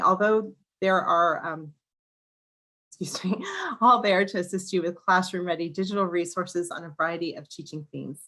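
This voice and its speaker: Hoarse-voiced woman hosting a webinar. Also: fairly low pitch, a bit bright. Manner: clears throat, stumbles and restarts words. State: slightly crackly, hoarse voice. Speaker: museum education director hosting a webinar. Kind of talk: scripted welcome and guest introduction. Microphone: video call.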